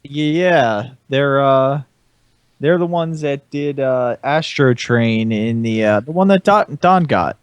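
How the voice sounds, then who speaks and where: A man's voice: medium pitch, slow pace, smooth, dry recording. A man giving his opinions casually as a panelist on a podcast. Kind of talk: casual group chat.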